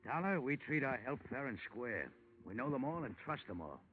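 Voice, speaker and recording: An actor playing a gruff, blunt carnival manager and strong-arm man in a slangy 1940s radio drama style. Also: medium pitch, big pitch swings, moderate pace, close to an everyday voice. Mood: defensive. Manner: blunt, slangy tough-guy talk. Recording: tinny, band-limited 1940s radio recording.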